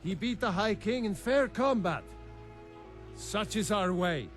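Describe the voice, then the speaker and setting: An actor playing a proud, defiant condemned gate guard in a fantasy game, declaiming earnestly. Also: male voice, sits high, expressive, unhurried, soft background music.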